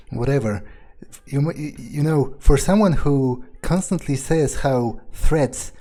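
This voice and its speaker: A man's voice: mid-range voice, slow pace, mellow. A man thinking aloud in character as he plays a video game, blunt, profane and musing. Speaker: video game player role-playing a character. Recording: game music under the voice. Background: game music.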